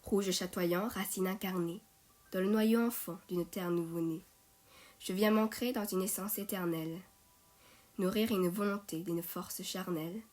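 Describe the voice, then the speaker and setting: A woman reciting poetry in a measured way, phrase by phrase. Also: fairly low pitch, steady tone, a little mellow. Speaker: poet reading own poem. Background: music.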